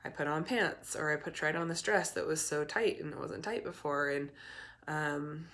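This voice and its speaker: A young adult woman, hoarse and raspy from a cold, talking candidly to camera as a recovery coach, with many ums and restarts. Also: sits low, moderate pace, fairly bright tone. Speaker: eating disorder recovery coach. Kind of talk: casual talk to camera.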